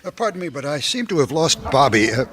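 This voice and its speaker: An actor playing a hesitant, placating, apologetic doctor in a radio mystery. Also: male voice, a little high, big pitch swings, fast, fairly bright tone. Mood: apologetic, flustered. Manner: hesitant, placating, stammering with nervous chuckles. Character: flustered, apologetic male doctor with a patient.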